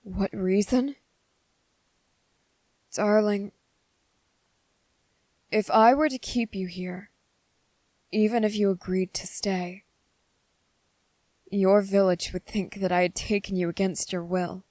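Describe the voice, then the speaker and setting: A woman acts out a gentle, brooding male vampire who is possessively in love, in an ASMR roleplay. The delivery is unhurried and halting, coaxing the listener tenderly. The sound is intimate and very dry, with no room around the voice. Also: medium pitch, steady tone, no room sound, close to an everyday voice. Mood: gentle, sorrowful. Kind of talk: ASMR character roleplay, intimate scripted acting.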